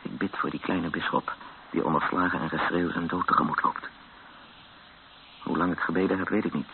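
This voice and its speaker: An actor playing a weary, frightened captive who narrates a radio drama in brooding short sentences. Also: male voice, low voice, steady tone, fairly quick, creaky. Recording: muffled, noisy old radio recording.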